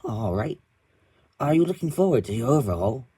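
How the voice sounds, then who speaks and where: A man voicing a kind, polite male steam engine who asks gentle, curious questions. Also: medium pitch, very animated, normal speed, slightly rough, voice pushed up.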